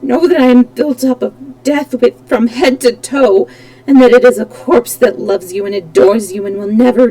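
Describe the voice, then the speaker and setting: A woman's voice: mid-range voice, a little mellow, close to an everyday voice, poor audio. A woman reading aloud, voicing a tormented, disfigured masked man who roars, taunts and pleads. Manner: roaring, theatrical, alternately pleading and menacing.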